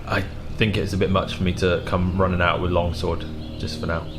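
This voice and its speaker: A man's voice: low-pitched, level tone, brisk, over music. A man chatting casually as a tabletop game player, thinking aloud as he goes. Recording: clearly noisy room ambience.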